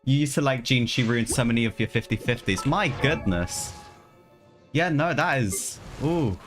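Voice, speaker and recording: A man's voice: mid-range voice, lively intonation, moderate pace, soft background music. A man livestreaming a video game, chatting casually with his viewers, often repeating his phrases and calling them lads. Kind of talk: casual live gameplay chat with viewers. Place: voice over live video game play, game music behind.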